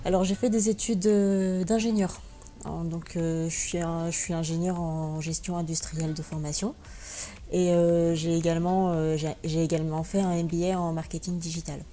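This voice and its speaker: A woman's voice: on the low side, level tone, moderate pace, dark tone. A young woman from France answering interview questions as a guest, hesitant, with many fillers and restarts. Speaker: guest blogger and teacher.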